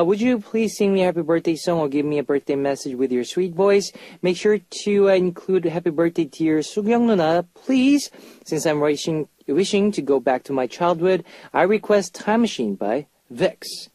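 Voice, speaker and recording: Higher-pitched male radio host, dry sound. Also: darkish tone, dry recording. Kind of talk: casual chatty music radio hosting with listener messages.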